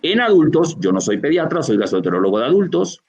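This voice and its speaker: A gastroenterologist from Colombia with a Barranquilla accent, explaining a medical answer over a video call, with frequent fillers and restarts. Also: male voice, a little high, sing-song, quick pace, vocal fry, darkish tone, slightly rough. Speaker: gastroenterologist lecturer. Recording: dull lo-fi video call audio.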